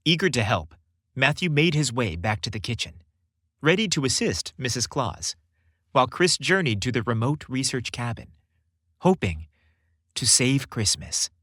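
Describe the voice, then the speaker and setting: Animated male storyteller, very dry sound. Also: medium pitch, moderate pace, no echo. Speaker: podcast host and storyteller. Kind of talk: scripted story narration.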